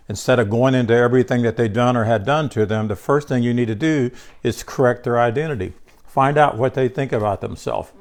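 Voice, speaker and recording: An older man teaching a small group about scripture in a loose, conversational way, congested, often restarting words and speaking to listeners by name. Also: medium pitch. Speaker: bible teacher.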